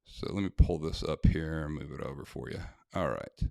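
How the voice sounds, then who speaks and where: Brief American man, deep voice, indignant straight talk, close dry mic. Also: moderate pace, creaky, darkish tone, dry recording. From United States. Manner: blunt, indignant, conversational with many fillers. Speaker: self-defense instructor. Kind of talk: casual talk to camera, reading a letter aloud.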